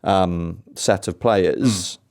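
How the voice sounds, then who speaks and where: A man with a fairly low voice, on as a football podcast guest. He analyses in a measured way and drops in dry jokes. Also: darkish tone. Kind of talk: casual podcast chat.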